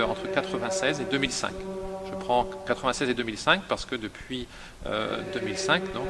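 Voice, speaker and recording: A man who directs a seminary, explaining its history and numbers in a measured, hesitant way with many fillers. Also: mid-range voice, a bit bright, slight background noise. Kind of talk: explanatory interview.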